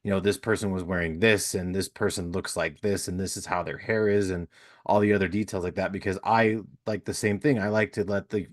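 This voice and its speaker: Low-voiced male podcast host. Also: fairly even intonation, a little fast. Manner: rambling, many fillers like "you know". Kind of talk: casual interview chat.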